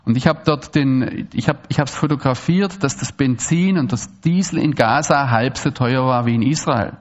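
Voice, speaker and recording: A man from Swabia, giving an animated, digressive talk to an audience with frequent restarts and asides. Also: medium pitch, moderate pace, muffled recording. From Swabia, Germany. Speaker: speaker giving a public talk. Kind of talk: lecture to an audience.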